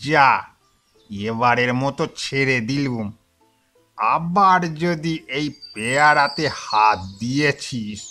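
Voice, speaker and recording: A man's voice: mid-range voice, lively intonation, slow, bright, forceful tone, faint music behind. A man voicing a grumpy, threatening old village grandpa in a cartoon, scolding as he talks. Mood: angry, threatening. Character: grumpy old village grandpa guarding guava tree, later ghost. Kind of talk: Bengali moral-story cartoon acting.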